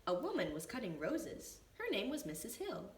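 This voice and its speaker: A woman reading a storybook aloud for young children as a preschool teacher, with expressive, deliberate phrasing. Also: mid-range voice. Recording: echoey room, distant mic, faint noise.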